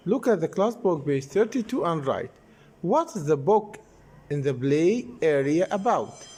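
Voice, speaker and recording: Lively male English teacher. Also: high voice, slight background noise. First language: Arabic. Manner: explains step by step, repeats page numbers. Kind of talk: classroom lesson explanation.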